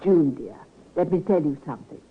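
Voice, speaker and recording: An actress playing a kindly, firm nurse in an old-time radio drama, with a motherly, gently bossy manner. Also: sits low, animated, slightly rough. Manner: brisk, fond, gently bossy. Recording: narrow-band vintage radio recording.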